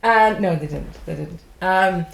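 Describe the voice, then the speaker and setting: A woman's voice: on the low side, unhurried, creaky, reverberant. An Irish woman who is a stand-up comedian, chatting casually on a podcast, swearing freely and rambling from joke to joke. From Ireland.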